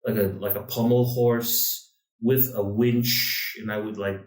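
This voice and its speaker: A man's voice: on the low side, little pitch movement, a little slow, distant mic. A man co-hosting a casual podcast chat, rambling through his thoughts with frequent restarts and fillers. He sits a little back from the mic, so his voice carries some room echo. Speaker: podcast co-host.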